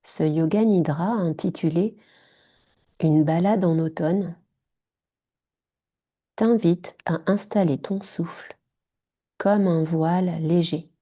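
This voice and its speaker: A woman guiding a yoga nidra relaxation in short, hushed, soothing phrases. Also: on the low side, slow pace, pauses often. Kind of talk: guided meditation.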